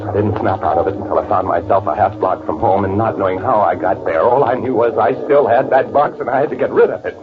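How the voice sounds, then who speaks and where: A man acting as a panicky, guilty narrator in old-time radio drama, nervous and halting under pressure. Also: lowish voice, noisy background, lightly acted. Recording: phone-like old radio recording with loud music.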